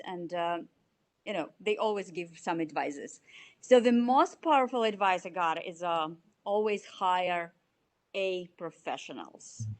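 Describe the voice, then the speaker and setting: A woman in her forties from Belarus with a Russian accent, answering interview questions in a halting way with many fillers. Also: medium pitch. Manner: halting, searching for words, frequent fillers. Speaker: CFO, interviewee.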